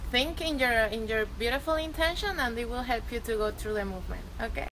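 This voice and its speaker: Young woman, Venezuelan Spanish accent, high voice, friendly and halting, yoga teacher, phone mic outdoors. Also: fairly bright tone, a little hiss or hum, low-quality recording. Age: young adult. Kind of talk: casual selfie-video yoga instruction. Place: outdoors by a backyard pool. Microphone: phone at arm's length.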